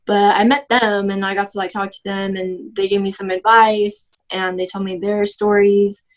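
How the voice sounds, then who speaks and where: A woman's voice: medium pitch, little pitch movement, moderate pace, poor audio. A young woman in her twenties from Texas, answering oral history interview questions casually with many "like" and "um" fillers and restarts. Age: 20s.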